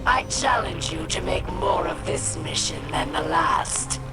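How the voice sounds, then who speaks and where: A woman's voice: fairly low pitch, lively intonation, measured pace. A woman playing an imperious female alien warlord in a video game, speaking in a haughty, biting, sarcastic manner. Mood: threatening, mocking. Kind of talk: video game cutscene acting. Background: cinematic music.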